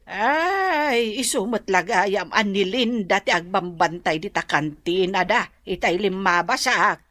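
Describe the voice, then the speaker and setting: A woman's voice: medium pitch, expressive, unhurried, fairly bright tone, no echo. A woman playing a fretful, scolding older village mother in an Ilocano radio comedy drama. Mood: gossipy, concerned.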